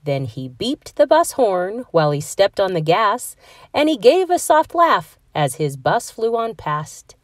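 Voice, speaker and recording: A woman narrates a rhyming picture book for children. Her pitch moves freely and her pace is slow and measured. The sound is close and dry. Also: very animated, no room sound. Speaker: storybook reader. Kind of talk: children's read-aloud, rhyming scripted narration.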